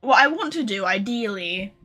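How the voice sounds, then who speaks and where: A woman's voice: medium pitch, lively intonation, normal speed, pushed and bright, faint music behind. A woman livestreaming a video game, chatting casually to viewers in a rambling, informal, sweary way.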